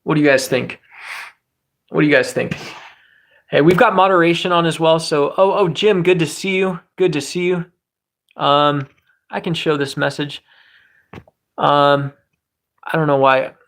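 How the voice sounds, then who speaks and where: A man talking to his livestream viewers at a desktop mic, loose and joking, with some swearing. His voice sits a touch high, with a gravelly fry under it. He rambles from one thought to the next and stops to greet people in chat by name. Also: fairly even intonation, unhurried, long pauses, fairly bright tone. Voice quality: says his voice is high and squeaky at times. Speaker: livestream host.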